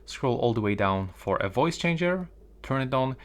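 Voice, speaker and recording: A man's voice: medium pitch, animated, moderate pace. A man presenting a step-by-step phone tutorial.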